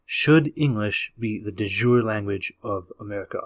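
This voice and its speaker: A man whose first language is Hungarian hosts a video and delivers a scripted opinion monologue in a measured, explanatory way, often posing rhetorical questions to the viewer. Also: lowish voice. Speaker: video host.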